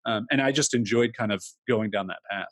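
A man's voice: lowish voice, brisk, fairly bright tone, dry recording. A man in his thirties, an entrepreneur talking candidly in an interview, rambling with many fillers. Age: 30s. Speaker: entrepreneur guest. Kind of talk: casual interview talk.

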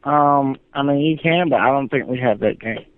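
A narrow, muffled phone line carries a man's mid-pitched voice. He is a store employee taking a customer call, speaking plainly and patiently. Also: normal speed, noisy background.

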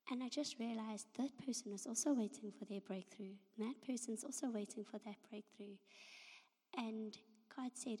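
A hoarse woman whose voice is half gone, talking in a soft, breathy near-whisper. She tells her faith story haltingly, with many pauses and ums. Her voice carries the long reverb of a large church hall. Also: on the high side. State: hoarse, half-lost voice from flu. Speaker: guest speaker. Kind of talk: personal faith testimony at a church service.

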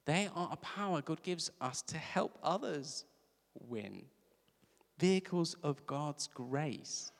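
A man's voice: fairly high pitch, expressive, slow, vocal fry. A man from Africa, preaching a sermon in a conversational storytelling way with emphatic repeats. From South Africa. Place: church service. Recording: reverberant church hall.

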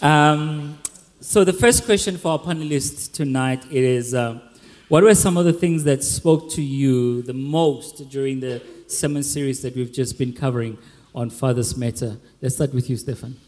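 A male pastor hosting a panel on a church stage, upbeat and teasing, loudly calling people up by name. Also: medium pitch, moderate pace. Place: large church hall. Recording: long hall reverb.

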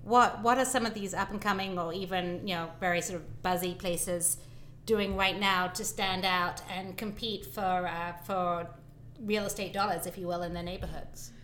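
A woman's voice: fairly low pitch, fairly even intonation, moderate pace, fairly bright tone, a little hiss or hum. Curious woman hosting a podcast. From not from Boston. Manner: conversational, curious questioner. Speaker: podcast host. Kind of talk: podcast interview.

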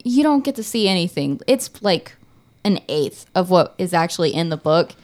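A woman's voice: lowish voice, very animated, normal speed, darkish tone. A woman speaking as a podcast panelist in casual chat, blunt and opinionated, swearing freely.

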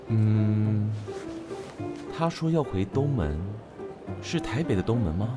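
An actor with a Taiwanese accent playing a shy, tender, smitten designer in a radio drama. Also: male voice, lowish voice, lively intonation, takes it slowly. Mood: shy, reserved. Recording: heavy echo, faint music.

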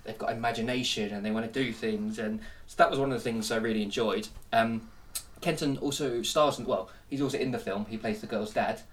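A man's voice: medium pitch, a little fast, recorded from a distance. A man hosting a casual film-review podcast. He is jokey and teasing, and stuffy with a cold. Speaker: podcast host.

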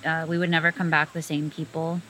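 A woman's voice: sits low, little pitch movement, fairly quick, sharp tone, a little hiss or hum, poor audio. A woman from the United States talking in a casual conversation, fluent and earnest, often saying "like" as she goes. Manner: fluent, earnest, many "like" fillers.

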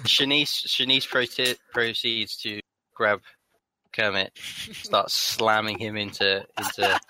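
Bright-voiced male podcast co-host. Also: fairly low pitch, little pitch movement, slow, low-quality recording. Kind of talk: improvised comedy narration.

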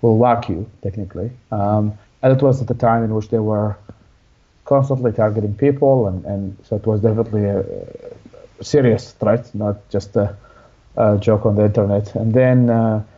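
A young man from Iraq, an interview guest telling his life story in a halting way with many restarts. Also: fairly low pitch, steady tone, highs cut off.

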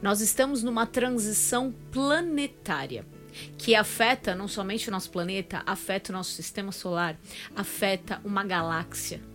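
A woman's voice: mid-range voice, normal speed, a bit bright, soft background music. A woman with a Brazilian accent talking emphatically as a guest in a casual podcast conversation.

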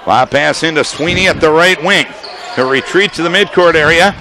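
A man calling live basketball play-by-play for radio, firing off rapid calls that rise to shouts on big plays, in a gym full of people. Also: on the high side. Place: high school gym with a crowd. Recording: loud crowd and gym noise. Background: crowd and gym noise.

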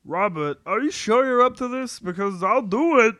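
Man acting insecure whiny husband, high-pitched, sharp tone, stammering, defensive, sitcom table read. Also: expressive, moderate pace, no room sound, clean studio sound. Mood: eager, put out. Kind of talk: amateur comedy table read.

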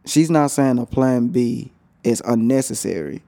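Mellow-voiced man telling a story. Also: mid-range voice, animated, a little slow, gravelly. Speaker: co-host. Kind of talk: casual chat.

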